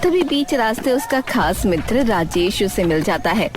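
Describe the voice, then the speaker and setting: A woman's voice: medium pitch, animated, normal speed, background noise. A woman reading scripted narration for a dramatized moral story.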